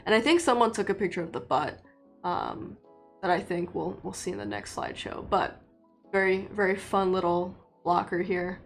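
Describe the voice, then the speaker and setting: Relaxed young woman, native Mandarin speaker. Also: on the low side, moderate pace, creaky, faint music behind. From China. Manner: relaxed, rambling, many fillers and restarts. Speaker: livestreamer. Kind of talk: casual live-stream chat with viewers.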